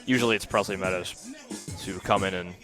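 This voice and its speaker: A man with a fairly low voice offers color commentary on a live softball game. He talks conversationally, adding analysis between plays, with faint music and outdoor ambience behind him. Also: steady tone, fairly quick.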